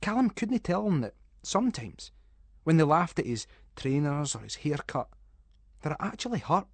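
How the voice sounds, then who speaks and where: A man's voice: a little high, very animated, moderate pace, no room sound. A man with a Scottish accent giving a dramatic, suspenseful narration of a story in colloquial Scots dialect.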